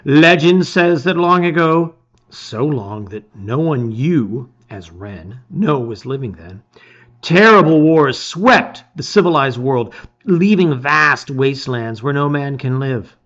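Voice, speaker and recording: Middle-aged man, medium pitch, read-aloud host, emphatic delivery, soft phrase endings, slightly muffled lo-fi audio. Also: animated, slow pace. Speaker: host reading a gamebook aloud. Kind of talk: casual intro and dramatic read-aloud of storybook narration. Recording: slightly dull, lo-fi sound.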